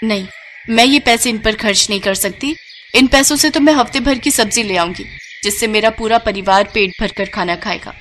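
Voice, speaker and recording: A woman plays a sweet, self-denying young village bride in a cartoon tale. The voice is fairly high, earnest and polite, with faint noise behind it. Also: normal speed, fairly bright tone, natural voice. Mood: wistful, dutiful. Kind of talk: Hindi cartoon moral story voice acting.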